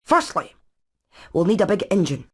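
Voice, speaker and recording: A woman voicing a practical, clever engineer hen in an animated game, methodical and matter-of-fact. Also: medium pitch, moderate pace, husky. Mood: methodical, keen. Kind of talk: animated video game voice acting.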